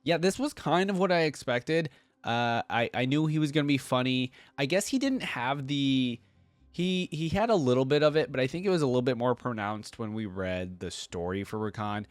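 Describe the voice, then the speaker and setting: Animated man, dry close recording. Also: medium pitch, moderate pace, no room sound. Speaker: reaction video host. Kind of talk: casual reaction commentary.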